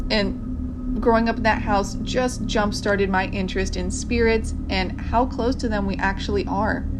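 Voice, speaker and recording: Casting: female presenter for scripted intros to spooky audio plays, mid-range voice over noticeable ambient noise. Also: medium pitch. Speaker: host.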